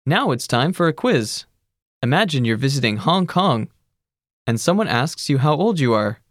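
A narrator giving measured, scripted instructions in a language course. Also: mid-range voice, no room sound, clean studio sound. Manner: even, measured teacherly instructions.

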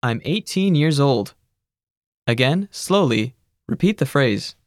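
A narrator giving measured, scripted instructions in a language course. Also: medium pitch, no echo, clean studio sound. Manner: even, measured teacherly instructions.

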